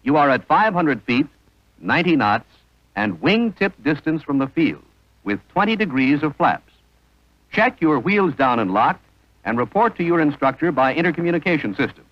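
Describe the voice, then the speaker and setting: A man's voice: fairly high pitch, big pitch swings, normal speed, no echo, highs cut off. A man narrating an instructional training film in a formal, precise manner on a vintage soundtrack.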